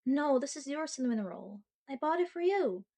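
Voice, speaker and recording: A somewhat high-pitched young-love girlfriend character , acted by a woman, speaks straight to her partner in an ASMR audio roleplay. She is sweet and earnest, halting when bashful. She slips into playful, self-mocking seriousness as she chats. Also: big pitch swings, warm tone. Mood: insistent. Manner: chatty, halting, bashful with dramatic mock seriousness.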